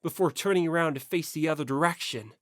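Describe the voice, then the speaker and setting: A woman's voice: deep voice, fast, no room sound, clean studio sound. A woman reading first-person scripted story narration as an audiobook narrator.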